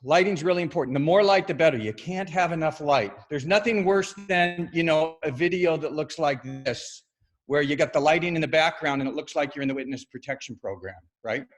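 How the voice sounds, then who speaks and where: A middle-aged man, a yoga studio owner, giving a loud, upbeat, chatty screen-share talk over a video call, with lots of "right?" tag questions. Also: on the high side, fairly quick. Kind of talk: casual screen-share presentation.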